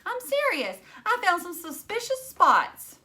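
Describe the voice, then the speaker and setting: A woman's voice: very high-pitched, huge pitch swings, a little slow, sharp tone, voice pushed up, heavily acted. A woman voicing an anxious, stubborn young teenage girl from a storybook, insisting and complaining dramatically. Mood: indignant, insistent.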